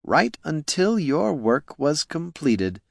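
A man voicing a cold, scheming male villain who speaks slowly and menacingly. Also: on the high side, sing-song, vocal fry, no room sound, clean studio sound, pitched above the performer's own voice, exaggerated voice.

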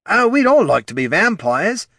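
A blithe male ghoul, put on by a man, high-pitched and bouncing up and down. He is folksy and chatty, an unbothered helper in a comic fantasy audiobook. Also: very animated, moderate pace, sharp tone, no room sound, studio quality, full character voice. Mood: amused.

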